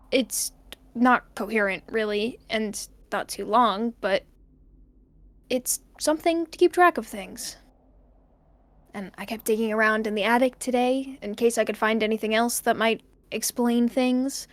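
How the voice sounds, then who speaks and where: A woman playing an anxious amateur investigator in an audio drama, dictating personal notes in halting, hesitant fragments. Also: fairly high pitch, no echo. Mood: hesitant, puzzled. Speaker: main character recording an audio journal.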